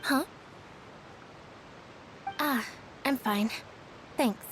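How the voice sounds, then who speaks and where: An actress playing a troubled young woman in a video game, speaking in a wistful, softly resigned way. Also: high voice, very slow, noisy background. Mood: guarded. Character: troubled young woman, wistful, mourning lost childhood memories.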